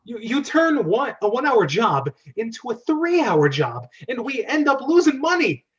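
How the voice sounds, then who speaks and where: A man's voice: extremely high pitch, very animated, normal speed, a bit bright, pitched above the performer's own voice, full character voice. A man reading the part of an indignant plumber ranting at his brother in a script read-aloud. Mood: exasperated, scolding. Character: exasperated adult male plumber, scolding his brother. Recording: dull, lo-fi sound.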